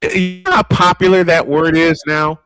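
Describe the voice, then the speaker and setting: A man's voice: fairly high pitch, sing-song, normal speed, fairly bright tone, no echo. A man calling a baseball game live in an old-school play-by-play style, with short clipped calls and chatty asides.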